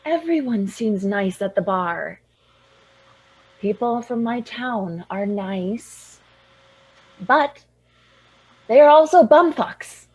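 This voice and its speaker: A woman's voice: on the high side, animated, slow pace, long pauses, a little mellow, breathy edge, full character voice, low-quality recording. A young actress playing a tipsy, dry-witted woman from a small town in a radio drama. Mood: wry, tipsy.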